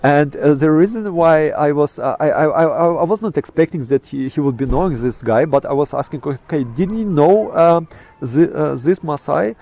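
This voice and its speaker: A middle-aged man from Kazakhstan, a native Russian speaker with a Russian accent, answering interview questions in English in a halting way, full of fillers and restarts. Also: fairly high pitch, expressive, dark tone. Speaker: interviewee, astrophotographer. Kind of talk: casual interview talk. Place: outdoors in a national park.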